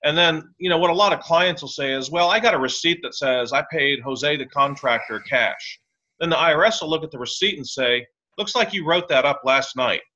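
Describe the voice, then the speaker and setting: A blunt, opinionated man speaks as a guest lawyer in a podcast interview. His voice is bright and forceful, and he comes across as wry. The recording sounds slightly dull and lo-fi. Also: fairly high pitch, fairly quick. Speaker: tax and real estate lawyer, guest.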